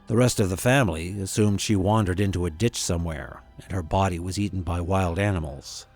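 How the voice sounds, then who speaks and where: A low-pitched man acting as a haunted grandson tells a first-person horror story. He speaks in a measured, dramatic way, leaving deliberate pauses. Music plays behind the narration. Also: darkish tone. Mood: regretful, haunted. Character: haunted grown grandson recounting a frightening visit.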